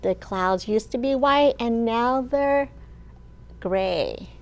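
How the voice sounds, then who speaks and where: A woman children's librarian reading a picture book aloud to young children, sing-song, with questions and pauses for them to answer. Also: a little high. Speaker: children's librarian and storytime host.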